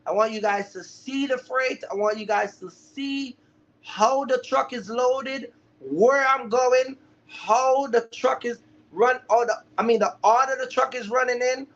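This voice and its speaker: Male livestream mentor, high-pitched, chatty and emphatic, on dull lo-fi audio. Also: unhurried, a bit bright. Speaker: livestream host, trucking business mentor. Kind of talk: casual live chat to viewers. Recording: dull, lo-fi live stream audio.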